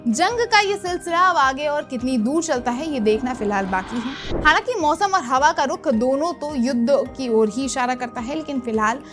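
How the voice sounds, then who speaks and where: A woman's voice: high-pitched, expressive, normal speed, few pauses. A woman presenting a scripted news explainer in the manner of a narrated report. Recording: music bed under the voice. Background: background music.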